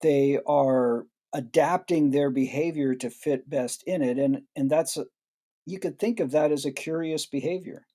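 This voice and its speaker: A man speaks as a guest in an interview, explaining his ideas reflectively and often restarting phrases. His voice is a touch high for a man, with a warm, mellow tone. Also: unhurried. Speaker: guest expert. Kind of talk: interview answers.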